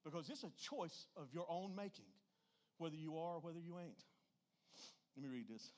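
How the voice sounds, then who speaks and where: A man's voice: a little high, animated, normal speed, a little mellow. A middle-aged man preaching an informal sermon, emphatic and folksy, often putting rhetorical questions to his listeners.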